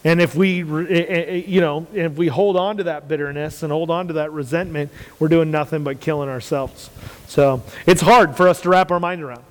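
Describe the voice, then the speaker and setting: A man with a big, carrying voice sits a touch higher than most men. He speaks as a pastor in casual, earnest faith talk, leaning on repetition. The sound rings out with long room reverb. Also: fairly even intonation, a little fast. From United States. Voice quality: loud, carrying voice. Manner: earnest, repeats phrases for emphasis.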